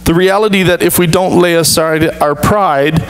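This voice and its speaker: A man in his thirties, a pastor, preaching an earnest sermon with measured, deliberate pauses from a church stage. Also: on the high side, fairly even intonation, clean tone, rough recording.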